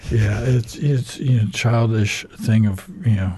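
Man, 50s, American accent, halting rambling storyteller, mellow tone, dry close sound. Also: lowish voice, slightly rough, no room sound. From United States. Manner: rambling, halting, many ums and uhs, wry humor. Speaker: radio show guest. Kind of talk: candid storytelling interview.

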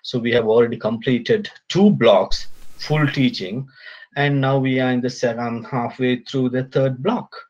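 A man from Sri Lanka, a polytechnic lecturer, speaking as a guest in a casual remote interview, halting with many fillers and restarts. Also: medium pitch, steady tone, a little mellow, lo-fi sound.

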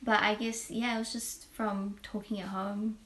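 A woman's voice: mid-range voice, flat delivery, moderate pace, fairly bright tone, rough recording. A young woman, born in Poland and raised in New Zealand, chatting casually as a radio guest and hedging often. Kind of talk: casual chat.

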